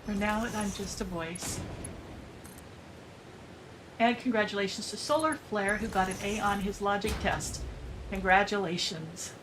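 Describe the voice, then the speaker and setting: A woman's voice: medium pitch, unhurried, pauses often, noisy background. Woman reading out stream chat. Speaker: livestream chat reader. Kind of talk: reading out viewer comments.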